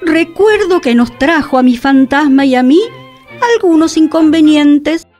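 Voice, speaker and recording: A woman's voice: high voice, unhurried, a little mellow, faint music behind. A woman playing a sceptical, theatrical and lightly sarcastic character in a radio comedy drama. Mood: surprised, breezy.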